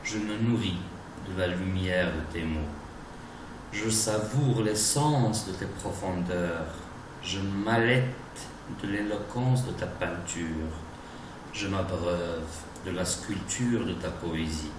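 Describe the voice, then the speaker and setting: A man giving a dramatic, declamatory recitation of romantic poetry in deliberate phrases. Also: deep voice. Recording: distant, echoey, noisy recording.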